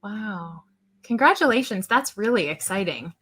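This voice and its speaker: A woman with a very bright, forward voice at a medium pitch. She moderates a discussion in a conversational style, as an interviewer would. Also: lively intonation, moderate pace, breathy edge.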